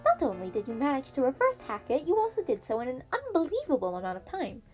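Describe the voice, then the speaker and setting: A gleeful little animal principal as a woman narrator puts him on, pitched high and speaking with formal eagerness. He is gentle yet scheming, a storybook figure over soft piano music. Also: huge pitch swings, fast talker. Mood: gleeful, eager.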